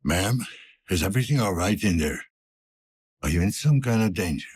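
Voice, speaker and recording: A weary older police detective, deep voice, gruff and terse, varied intonation, video game dialogue. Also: male voice, animated, moderate pace, a little mellow, dry recording, studio quality. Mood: concerned, questioning. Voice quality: husky, gravelly. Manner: terse, world-weary, low-key delivery.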